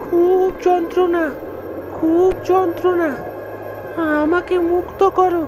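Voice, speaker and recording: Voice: extremely high pitch, flat delivery, slow pace, low-quality recording. A woman voicing the sorrowful, pleading ghost of a captive child in a cartoon horror story. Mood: anguished pleading. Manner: plaintive, pleading. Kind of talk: animated horror story acting. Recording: music behind the voice.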